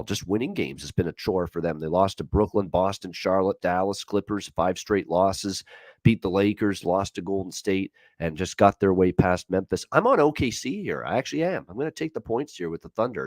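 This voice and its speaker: A man's voice: low voice, no room sound. A man hosting a sports betting show, giving his opinions in casual, conversational commentary.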